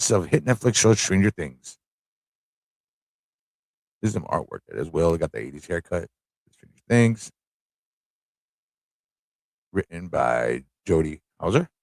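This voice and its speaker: A man's voice: lowish voice, darkish tone, no room sound. A man hosting a casual live stream, thinking aloud in an easygoing, informal way as he chats, reads aloud and reacts.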